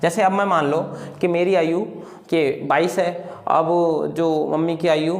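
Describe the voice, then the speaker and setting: A man's voice: on the high side, fairly even intonation, measured pace. A man teaching step by step in an informal way, often repeating phrases and posing questions that he answers himself. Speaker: maths teacher. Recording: echoey room.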